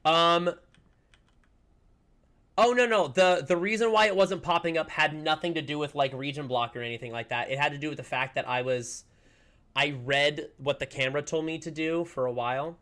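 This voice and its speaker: A man's voice: fairly high pitch, moderate pace, a bit bright. Chatty upbeat man streaming a game. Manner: chatty, upbeat, rambling with many fillers. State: slightly hoarse, recovering from a lost voice. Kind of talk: casual livestream chat while playing.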